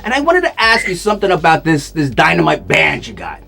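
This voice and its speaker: A man's voice: fairly high pitch, huge pitch swings, brisk, creaky, sharp tone, faint music behind. A man hosting a casual interview, gushing and repeating "you know what" while he talks. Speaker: talk show host playing a vampire count.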